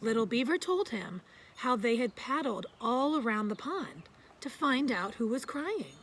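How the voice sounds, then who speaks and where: A woman reading a children's picture book aloud in a gentle, expressive storytelling style. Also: fairly high pitch, measured pace.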